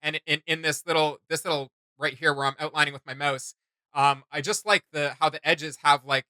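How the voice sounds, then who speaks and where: A man's voice: on the high side, fairly even intonation, a little fast, sharp tone, clean tone, no room sound. A man hosting a reaction video, commenting casually in informal, slangy phrasing. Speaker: YouTube reaction host. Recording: music playing behind the voice. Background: music.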